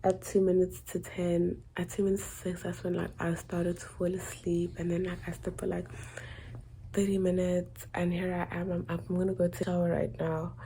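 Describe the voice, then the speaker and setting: A young South African woman chatting to her camera in a rambling, casual way, tired and low on energy. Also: little pitch movement, normal speed, dark tone, a little hiss or hum. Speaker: vlogger. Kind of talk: casual vlog chat to camera. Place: indoors, then out in the city streets.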